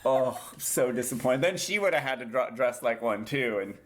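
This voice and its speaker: A man's voice: medium pitch, animated, moderate pace. A man in his thirties co-hosting a podcast, chatting casually and rambling with lots of ums and restarts. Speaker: podcast co-host. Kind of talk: casual chat.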